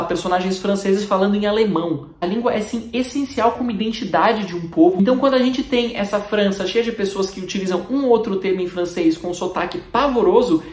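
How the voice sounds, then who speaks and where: A woman with a Brazilian Portuguese accent reviews a film as a critic, in a measured and articulate scripted delivery. Her voice sits at an ordinary middle pitch. The room adds a noticeable echo around her. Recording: roomy, echoey room.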